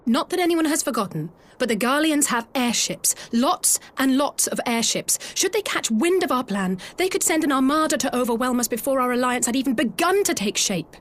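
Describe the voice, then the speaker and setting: A woman's voice: high voice, expressive, fairly quick, hardly any pauses. A woman playing an earnest young noblewoman in a fantasy game, frank, articulate and questioning. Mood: pointed, concerned. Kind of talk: scripted fantasy game acting.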